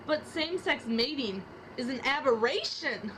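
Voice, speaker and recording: High-voiced young woman reading rap lines. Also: lively intonation, moderate pace, noisy background. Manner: rhythmic, trading lines with a partner. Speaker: student reader. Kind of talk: dramatic reading of rap lyrics.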